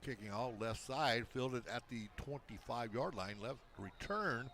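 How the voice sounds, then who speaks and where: A man with a big, booming voice calls high school football play-by-play from a press box. He mixes quick calls of the action with folksy, joking banter. Faint outdoor noise sits under his voice. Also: expressive. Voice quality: big loud voice. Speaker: play-by-play announcer.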